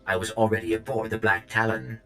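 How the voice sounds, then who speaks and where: A politely sinister protocol droid from a sci-fi game. It speaks in a lowish, completely even monotone with precise, formal wording. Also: male voice, a little fast, vocal fry, rough recording. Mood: coolly formal.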